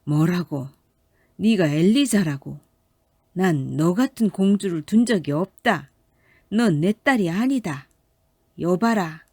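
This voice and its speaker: Storybook monarch, female reader playing him: stern, emphatic, forceful, indignant old ruler. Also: on the low side, lively intonation, slow pace, long pauses, no echo, clean studio sound, exaggerated voice. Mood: angry. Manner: commanding, emphatic. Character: stern, indignant old king disowning his daughter. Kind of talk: storybook character voice.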